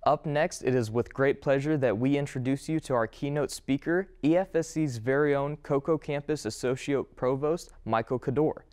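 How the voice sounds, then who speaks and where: Smooth-voiced young man hosting. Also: mid-range voice, moderate pace, few pauses, a little mellow. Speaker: student host. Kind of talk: scripted video hosting. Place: studio with backdrop, indoors. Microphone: lapel mic.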